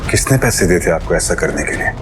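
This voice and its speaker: A stern but caring father with a very low voice. He speaks firmly and deliberately, switching between Hindi and English. Dramatic audio-series delivery sits over clear background music. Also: animated. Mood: stern, threatening. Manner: firm, measured, mixes English into Hindi. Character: wealthy, protective young father, stern and caring.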